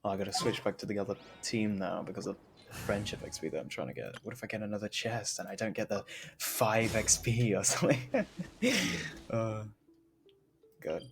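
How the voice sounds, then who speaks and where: Man, lower-pitched, casual gaming stream chat, rambling, halting, game music in background. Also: creaky. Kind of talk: casual live gameplay commentary.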